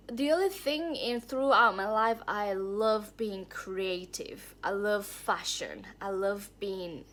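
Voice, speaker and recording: A woman's voice: medium pitch, fairly bright tone. A woman speaks to the camera from a room at home. She tells her own story in a candid, heartfelt way, rambling and restarting her sentences as she goes. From Thailand. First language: Thai. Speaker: vlogger. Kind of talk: personal story vlog.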